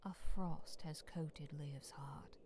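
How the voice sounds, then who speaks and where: A woman narrating a bleak, frozen monster-hunting visual novel as a solemn storyteller, with phrases sinking into hush. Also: low-pitched, steady tone, takes it slowly, whispered. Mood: somber, hushed. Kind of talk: scripted second-person dramatic narration. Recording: clear noise behind the voice.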